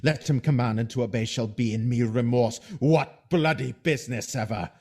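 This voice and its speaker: An actor playing a scheming soldier who feigns devoted loyalty, solemn and measured, in a Shakespearean audio drama. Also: male voice, medium pitch, a little mellow, natural voice.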